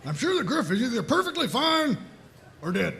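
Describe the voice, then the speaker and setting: A gruff, callous older cartoon sergeant, speaks in a folksy drawl. The voice is gravelly, high and forceful, over faint noise. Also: male voice, very animated, moderate pace, full character voice. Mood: blunt, unconcerned. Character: gruff older male military sergeant, cheerfully blunt and callous. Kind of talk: comedic table read of animated series.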